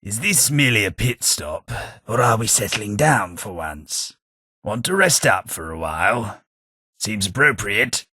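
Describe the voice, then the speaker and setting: An actor playing a haughty, dry-witted old aristocratic ghoul in a video game, with a British accent, a raspy voice and a pompous, formal manner. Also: male voice, lowish voice, animated, a little slow, pushed and bright, no room sound, exaggerated voice. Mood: dry, courteous. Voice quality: raspy, gravelly. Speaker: video game companion character. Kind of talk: scripted video game voice acting.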